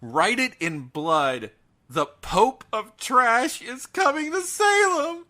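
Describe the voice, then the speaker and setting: A man's voice: extremely high pitch, very animated, slow, bright, forceful tone. A man co-hosting a podcast, chatting casually through horror news in a theatrical, jokey and emphatic way. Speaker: podcast co-host.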